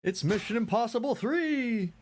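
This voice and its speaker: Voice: mid-range voice, animated, normal speed, darkish tone. A game streamer reading a visual novel aloud and reacting with amused commentary. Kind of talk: let's-play commentary. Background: game music.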